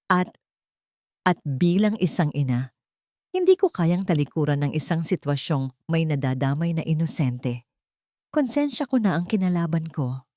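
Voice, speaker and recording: Narrator as low-voiced, tired, scheming woman lawyer. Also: sing-song, moderate pace, warm tone, dry recording, clean studio sound. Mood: earnest, subdued.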